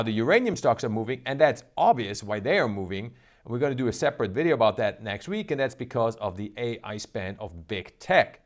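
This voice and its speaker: Upbeat man hosting a trading show. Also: mid-range voice. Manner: upbeat, salesy, emphatic. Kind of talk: energetic market commentary.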